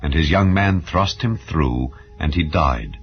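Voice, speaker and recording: A man reading scripture as a solemn, measured narrator in a dramatized style. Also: a bass voice, animated. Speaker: narrator of a dramatized audio Bible. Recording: dull, muffled lo-fi sound.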